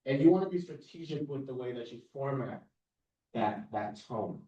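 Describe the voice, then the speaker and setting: A young man giving a casual but confident talk on public speaking to a student club, using a lowered, authoritative tone. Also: animated, normal speed, warm tone. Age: young adult. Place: classroom or meeting room. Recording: roomy, distant mic, dull sound.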